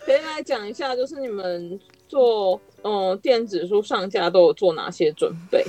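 A woman's voice: medium pitch, expressive, normal speed, a little mellow, soft background music. A woman with a Taiwanese Mandarin accent chatting casually as a podcast host.

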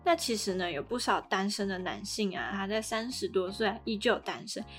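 A woman's voice: mid-range voice, animated, brisk, soft background music. A woman with a Taiwanese Mandarin accent, co-hosting a podcast and explaining things in a conversational way. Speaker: podcast co-host.

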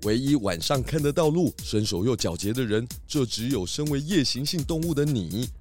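A man playing a clever cartoon pig detective in a children's radio play. His medium-pitched voice is very expressive, confident and deliberate, with music underneath. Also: natural voice. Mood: deductive, confident. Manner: deliberate, explanatory. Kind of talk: children's audio drama acting.

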